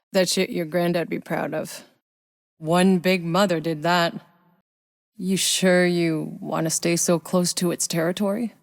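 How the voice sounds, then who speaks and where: Tough sci-fi outrider woman, long reverb, measured pace, sardonic, gritty, video game dialogue. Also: on the low side. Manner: gritty, wry, profane. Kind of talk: video game voice lines, dramatic acting.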